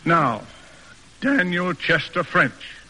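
A man's voice: fairly high pitch, big pitch swings, slow pace, pushed and bright, long reverb tail, heavily acted. An actor playing a stern, pompous middle-aged American father in a formal, declamatory radio drama style. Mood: commanding, emphatic. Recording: old radio broadcast recording.

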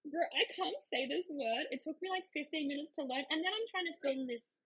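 A woman's voice: high voice, brisk, fairly bright tone, low-quality recording. A young woman with an Australian accent, chatting casually as a podcast guest and acting out her own past lines as she tells a story. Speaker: podcast guest, micro-influencer. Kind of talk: casual chat.

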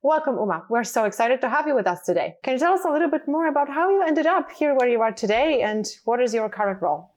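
A woman's voice: fairly high pitch, animated, fast talker, few pauses. A woman host reading a polished introduction and welcoming a guest. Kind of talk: scripted introduction.

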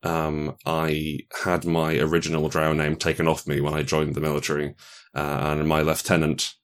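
Male, low register, joking game-designer guest in casual tabletop chat, halting restarts. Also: completely flat delivery.

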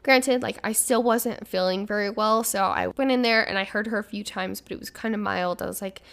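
A woman's voice: mid-range voice, brisk, gravelly, a bit bright. A woman hosting a casual podcast, chatty and upbeat, her voice a little stuffy as she gets over the flu. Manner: chatty and upbeat, rambling storyteller. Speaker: podcast host.

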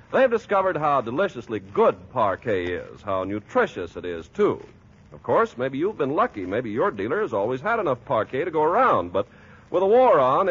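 A man announcing in the style of 1940s radio advertising, with a husky voice. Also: a little high, a bit bright. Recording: muffled old radio recording.

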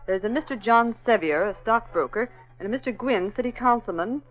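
An actress playing a loyal, sympathetic and efficient secretary, polite and deferential, in 1940s radio drama style. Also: female voice, fairly high pitch, a little fast, soft background music, natural voice. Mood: businesslike. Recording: dull old radio recording.

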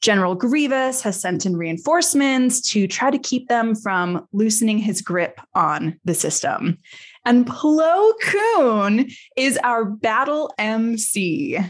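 A woman's voice: fairly high pitch, sing-song, takes it slowly. A woman podcast host recapping an episode in casual chat, with a playful, slangy way of telling a story.

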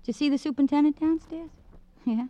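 Actress as a sassy, high-voiced working girl. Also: fast, warm tone, dry recording, lightly acted. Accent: American. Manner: sassy, slangy, coquettish. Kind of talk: old-time radio drama acting. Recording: dull vintage radio recording.

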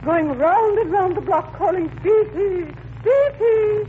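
An actress playing a pompous, affected, overwrought middle-aged society matron, in 1940s radio comedy style. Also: female voice, very high-pitched, slow. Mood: haughty, upset. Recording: tinny, slightly noisy old radio recording.

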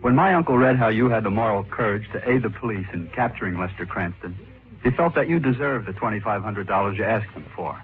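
A man's voice: on the low side, animated, brisk. An actor playing a polite, earnest young male messenger, courteous and plain-spoken, in 1940s radio drama style. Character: polite, earnest young man delivering money. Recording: scratchy, tinny old radio recording.

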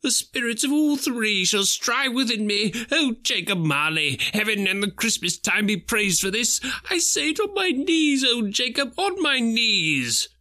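A man voicing an old miserly gentleman who pleads in a high, strained voice. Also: big pitch swings, measured pace, few pauses, exaggerated voice. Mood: fervent. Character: old miserly man, terrified then overjoyed.

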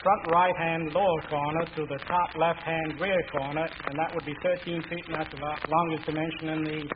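Matter of fact guy explaining quiz answers, voice a bit high, muffled old radio sound. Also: fairly even intonation, brisk. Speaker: quiz contestant. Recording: noisy lo-fi vintage radio broadcast.

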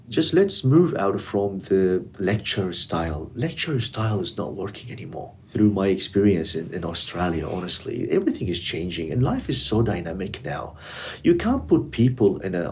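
A man's voice: sits low, a little hiss or hum. A middle-aged man whose first language is Arabic, speaking earnestly in a casual interview with non-native English grammar. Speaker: interviewee, community educator.

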